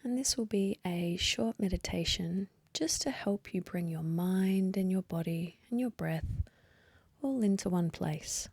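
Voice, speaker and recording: A woman leading a guided meditation in a soft, breathy voice, gently and unhurriedly, with long pauses. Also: fairly low pitch, dry recording. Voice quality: soft, breathy, partly whispery. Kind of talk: guided meditation, slow calm instruction.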